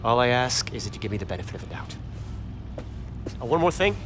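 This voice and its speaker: A man's voice: medium pitch, big pitch swings, fast, over music, close to an everyday voice. A man playing a brash, evasive airship pilot in a video game, colloquial and cajoling. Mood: persuasive. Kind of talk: cinematic video game acting.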